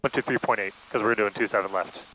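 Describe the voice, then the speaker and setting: A man's voice: on the low side, quick pace, rough recording. A man giving casual flight instruction in an easygoing, explanatory way over a cockpit headset. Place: inside a small propeller plane in flight. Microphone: aviation headset intercom. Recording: steady engine noise behind cockpit audio.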